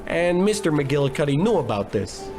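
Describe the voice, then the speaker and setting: A man playing a bumbling, self-important private detective in a comic 1940s-style radio noir, blustering and deadpan. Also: fairly high pitch, moderate pace, over music. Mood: inquisitive.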